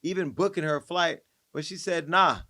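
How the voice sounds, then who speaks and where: Brief: man hosting a podcast, gossipy and laughing, with a slightly raised pitch and a dry close mic. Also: normal speed, bright, forceful tone, dry recording. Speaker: podcast host. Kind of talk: casual chat.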